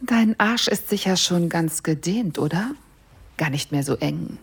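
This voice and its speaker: Casting: female actor as dominant, teasing wife for erotic audio drama; very breathy and husky, gravelly creak, in control. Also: lowish voice, lively intonation, a little slow, heavily acted.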